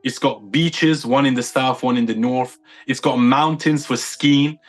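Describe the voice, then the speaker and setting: A man's voice: medium pitch, steady tone, unhurried. Guy on a podcast hammering his point, repeats himself for emphasis, mid voice, faint music under it. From United Kingdom. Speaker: podcast guest. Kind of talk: opinionated casual chat.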